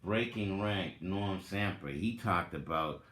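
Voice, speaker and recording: Deliberate, slow-paced man in an echoey room. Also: low-pitched, a bit bright. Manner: deliberate, emphatic, with pointed rhetorical questions. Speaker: host giving a reading and commentary. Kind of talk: dramatic reading and reflective spoken commentary. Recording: roomy, echoing sound.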